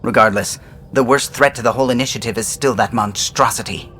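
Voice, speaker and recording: A man's voice: medium pitch, fairly quick, pushed and bright, a little hiss or hum, close to an everyday voice. A man playing an earnest, resolute starship pilot, speaking solemnly in video game dialogue. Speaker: pilot character.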